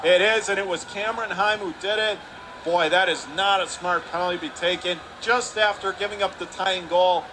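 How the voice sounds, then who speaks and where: A man's voice: sits high, steady tone. Male hockey announcer, noisy arena. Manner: rapid calls rising to shouts on big plays. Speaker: radio play-by-play announcer. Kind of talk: live hockey play-by-play. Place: hockey arena broadcast booth.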